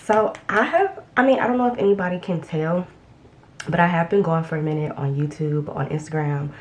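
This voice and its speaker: A woman's voice: sits low, fairly quick, a little hiss or hum. A young woman chatting casually while doing her makeup at home, a little congested after being sick, rambling with lots of ums and restarts. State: slightly congested after illness. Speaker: beauty vlogger. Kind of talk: casual get-ready-with-me chat.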